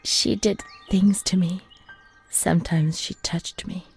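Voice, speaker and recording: A woman playing a fragile, haunted young former asylum patient in a narrative game, delivering a slow, halting, confessional monologue in a breathy voice that often sinks to a whisper. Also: on the low side, steady tone, heavily acted. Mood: wistful, intimate. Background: music.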